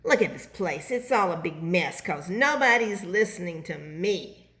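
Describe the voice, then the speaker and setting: A woman's voice: lowish voice, lively intonation, unhurried, a bit bright. A woman voicing a bossy, short-tempered male storybook bean who scolds and snaps at everyone. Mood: angry blaming. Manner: snappish, scolding, exclaiming.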